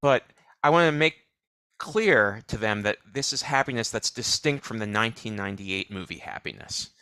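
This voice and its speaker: A man co-hosting a live show, giving wry, deadpan commentary and setting up clips. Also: fairly low pitch, normal speed, smooth, dry recording. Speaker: co-host. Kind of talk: casual chat.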